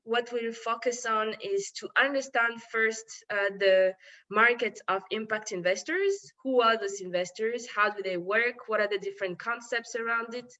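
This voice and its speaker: Bright-toned woman presenting hesitantly online. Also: mid-range voice, highs cut off. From Congo, grew up in France. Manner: hesitant, many filler sounds and restarts. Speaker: webinar presenter, impact investor.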